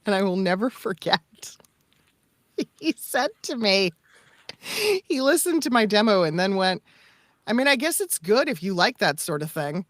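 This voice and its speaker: A woman's voice: mid-range voice, big pitch swings, measured pace, pauses often, fairly bright tone, no echo, studio quality. A middle-aged woman talking candidly and emphatically as a coach in casual podcast conversation, repeating phrases for effect. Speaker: voice acting coach and demo producer.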